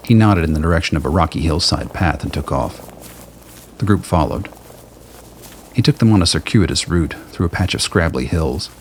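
Deep guy narrating a radio play from a script, slight background noise. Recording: faint noise and ambience.

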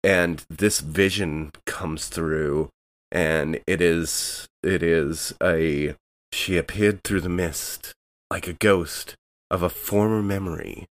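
A man with a very low, deep voice plays a tabletop game. He talks through his character's moves with a lot of pauses and fillers. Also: steady tone. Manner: describes his character's actions with hesitant fillers. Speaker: player.

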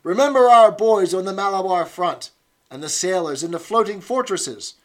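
A man's voice: expressive, slow, bright, forceful tone, heavily acted. A man voicing a shrewish, bossy woman fitness instructress in her late thirties who barks commands. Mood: bossy, exhorting. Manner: shrill, barking commands.

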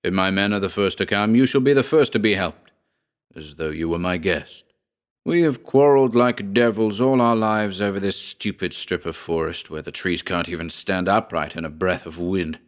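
A man's voice: sits low, moderate pace, lightly acted. A man voicing an injured, proud nobleman who is weary and earnest as he relents in an old feud.

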